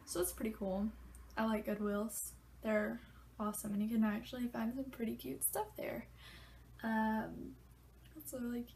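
A woman's voice: on the high side, darkish tone, a little hiss or hum. A young woman in her twenties, congested, chatting casually and loosely to camera in a small bedroom, swearing freely. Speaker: vlogger.

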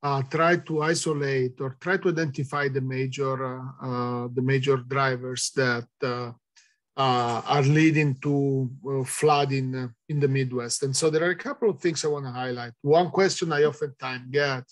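A middle-aged man with an Italian accent answering audience questions in an online talk, hesitant with many fillers, heard over a video call. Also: mid-range voice. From Italy. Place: indoors, virtual background.

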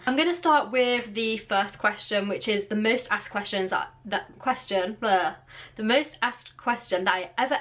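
A woman's voice: medium pitch, a bit bright. Chatty young Birmingham woman vlogging. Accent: Birmingham English. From England. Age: 20s. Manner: chatty, rambling, with many fillers. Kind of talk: casual Q and A to camera. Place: bedroom with clothes rail, indoors.

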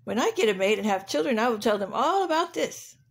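Woman as an earnest, high-voiced storybook rhinoceros. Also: lively intonation, quick pace, gravelly, husky, full character voice. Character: big, earnest, naive rhinoceros from far away.

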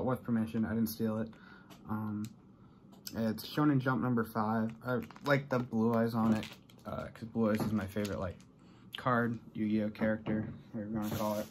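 A man's voice: on the low side, steady tone, normal speed, a little hiss or hum. A young adult American man chatting casually and unscripted to camera in a rambling way, as he shows off a haul in a small room. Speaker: vlogger showing a collection haul. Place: small bedroom, indoors.

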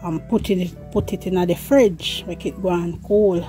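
Jamaican woman, patois cooking vlog, slow easy pace, music behind, phone mic outdoors. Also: on the low side, fairly even intonation, dark tone. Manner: chatty patois, addresses viewers as guys. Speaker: cooking vlogger. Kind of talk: casual cooking vlog, talking to viewers. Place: outdoor yard kitchen. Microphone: phone held in one hand while filming.

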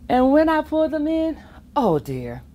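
A middle-aged woman reads a children's picture book aloud in a very slow, deliberate delivery. Her pitch swoops widely as she plays up the rhymes and adds playful asides. There is faint room noise behind her. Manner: expressive, chuckling asides, acts out the rhymes. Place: library children's reading corner, indoors.

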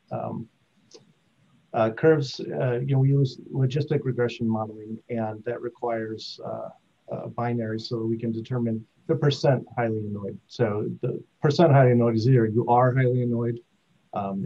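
A man in his forties giving technical explanations as a panelist on a video call, halting mid-phrase, in slightly dull audio. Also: mid-range voice, dark tone, slightly rough. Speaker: survey researcher on a panel. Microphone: computer video-call microphone.

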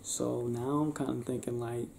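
A young man doing an affectionate ASMR boyfriend roleplay, soft-spoken, halting and playful, as if chatting in bed. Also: medium pitch, flat delivery, vocal fry. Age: young adult. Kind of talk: ASMR boyfriend roleplay, improvised intimate chat. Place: small indoor bedroom, lying on a bed. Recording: roomy bedroom sound with faint hiss.

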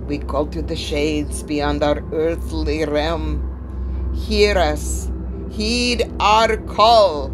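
Slow, low-voiced old spirit medium. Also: female voice, steady tone, background noise. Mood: solemn incantatory. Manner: solemn, dramatic, deliberate pronouncements. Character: weary, wary old female spirit medium, grave and foreboding. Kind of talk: theatrical audio drama acting.

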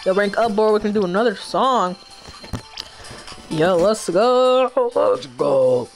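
A woman's voice: medium pitch, lively intonation, slow, soft background music. A woman streamer giving casual, slangy gameplay commentary with plenty of swearing.

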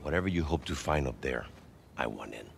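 A man's voice: rumbling low, animated, fairly quick, gravelly, slight background noise, heavily acted. A man playing a corrupt, greedy prison boss in a video game cutscene, menacing and sardonic, with a Latin American Spanish accent.

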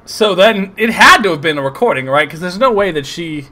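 A man giving casual, excitable, sarcastic commentary over a video game playthrough, swearing often. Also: high voice, brisk. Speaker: gameplay commentator. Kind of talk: casual let's play commentary.

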